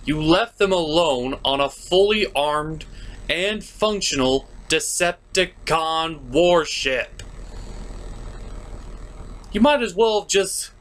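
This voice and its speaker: A man's voice: on the high side, animated, takes it slowly, a bit bright. A man narrating a scripted review in a sarcastic, mocking, sometimes profane manner. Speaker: cartoon reviewer, host.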